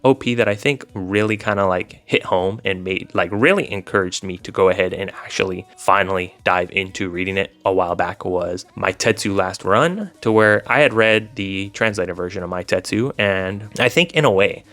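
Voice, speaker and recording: A man's voice: low-pitched, moderate pace, few pauses, fairly bright tone. A man giving casual, informal commentary as a video host, talking in a rambling, hedging way. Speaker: video host reviewing visual novels. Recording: voice over background music. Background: music.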